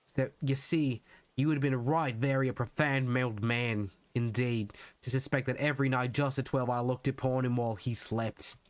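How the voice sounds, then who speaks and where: Guy playing a crazed killer telling a gothic horror tale, midrange voice, theatrical and deliberate, super dry sound. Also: medium pitch, steady tone, dry recording, close to an everyday voice. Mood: sly, boastful. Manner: theatrical, deliberate, emphatic repetitions and pauses. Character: unhinged murderer narrator, proud, insisting he is sane. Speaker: VTuber practicing voice acting. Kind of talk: dramatic Gothic horror monologue reading.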